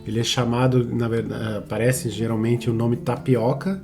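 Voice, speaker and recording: A man co-hosting a casual podcast, talking haltingly with frequent restarts and tag questions. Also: mid-range voice, fairly even intonation, gravelly, over music. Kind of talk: casual conversational podcast chat.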